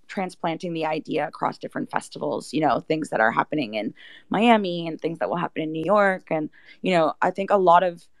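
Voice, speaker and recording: A woman's voice: on the low side, no echo. A woman speaking as an interview guest, explaining a project in a casual, conversational way with lots of "like" and "you know".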